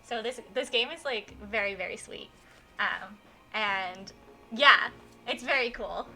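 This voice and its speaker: Bright, excitable woman streamer. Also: a little high, measured pace, rough recording. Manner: effusive, excitable. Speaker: live streamer, host. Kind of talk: casual game stream chat. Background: music.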